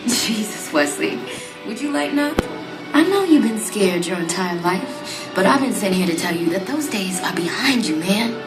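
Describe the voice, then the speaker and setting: A woman's voice: mid-range voice, expressive, normal speed, lightly acted. An actress playing a brash, streetwise female assassin in a motion comic, sassy, taunting and commanding. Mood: cocky, persuasive. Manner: sassy, foul-mouthed, commanding. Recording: roomy sound with music underneath.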